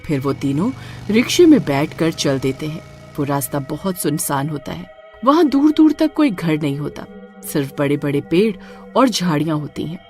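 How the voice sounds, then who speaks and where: A woman's voice: sits low, very animated, moderate pace, darkish tone, soft background music. A woman narrating a scripted horror story.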